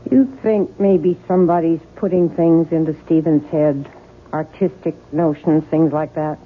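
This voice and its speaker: A woman's voice: low voice, steady tone, a little slow. An actress playing a plain-spoken, nosy older American mother-in-law in a radio drama, chatty, blunt and insistent. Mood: suspicious, fussing. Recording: muffled, noisy 1970s radio broadcast.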